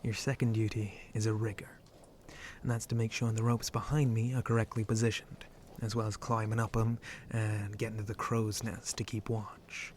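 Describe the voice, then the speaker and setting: A man playing a flirty, roguish shark-monster pirate in an ASMR roleplay, breathy and often whispering, with a teasing, rustic pirate way of talking. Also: fairly low pitch, flat delivery, normal speed, a little mellow, a little hiss or hum, natural voice. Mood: instructive, easygoing. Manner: rustic pirate dialect, hesitant drawl, teasing.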